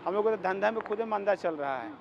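Fast-talking high-voiced man on the street. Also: animated, gravelly. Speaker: driver being interviewed. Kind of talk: casual street interview. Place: outdoors beside a parked vehicle on a road. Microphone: handheld reporter's microphone. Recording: dull sound, faint street noise.

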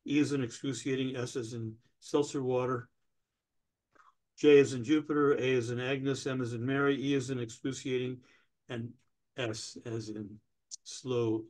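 A man in his sixties playing a pedantic, obstructive older DMV clerk in a staged comedy reading, officious, deadpan and stalling. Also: mid-range voice, normal speed, close to an everyday voice. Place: indoors, on a video call.

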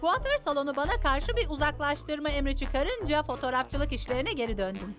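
A woman's voice: sits high, sing-song, fairly quick, fairly bright tone, soft background music. A woman voicing a chatty, oblivious oddball newspaper photographer in a Turkish cartoon dub. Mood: breezy.

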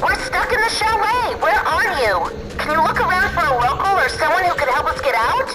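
A woman's voice: extremely high pitch, big pitch swings, brisk, over loud music, poor audio. A woman playing a bossy, brainy, urgent young female sleuth in a cartoon video game.

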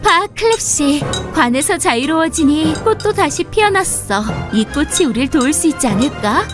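A woman's voice: high voice, lively intonation, moderate pace, music in the background, pitched above the performer's own voice. A woman voicing a brave, kind young princess in a children's fairy tale, earnest and dramatic. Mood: hopeful.

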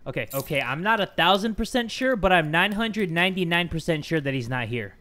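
Young guy on a headset playing a scary game, bright high voice, loud then whispery. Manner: animated, swings from loud yelling to hushed talk. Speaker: gaming video host. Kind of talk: energetic horror game commentary.

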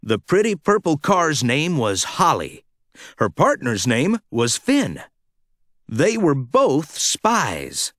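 A man reads a children's storybook aloud with expressive, dramatic phrasing. His fairly high voice rises and falls in lively swings, and he takes his time over each sentence so young listeners can follow along. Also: no room sound, studio quality. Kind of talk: scripted read-along storytelling.

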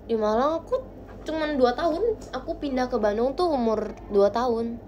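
A young woman hosting a casual livestream from her bedroom, chatting with viewers into a phone held close. She talks playfully, with quiet murmurs that jump into bright, lively bursts. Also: high-pitched, normal speed, slight background noise. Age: young adult. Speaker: idol livestream host. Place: small bright bedroom indoors. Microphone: phone camera close to face.

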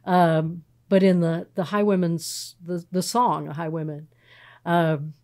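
A woman's voice: fairly low pitch, steady tone, unhurried. A middle-aged woman pastor from Texas with a Texan accent, telling stories in a casual podcast interview with many fillers and restarts. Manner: warm storytelling, frequent fillers and restarts.

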